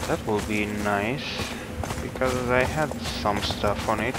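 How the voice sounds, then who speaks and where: A young man from Romania streaming a game, talking slowly and haltingly with many fillers, recorded at a desk in a small room. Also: fairly low pitch. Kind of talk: casual gameplay chat. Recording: loud background noise.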